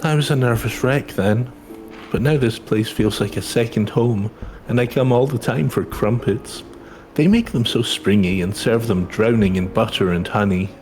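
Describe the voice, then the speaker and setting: A man's voice: on the low side, moderate pace, a little mellow, natural voice, rough recording. Guy doing an earnest young adventurer from a fantasy game, kinda unsure, polite, faint music behind. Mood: earnest, eager. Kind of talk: reading game dialogue aloud in character.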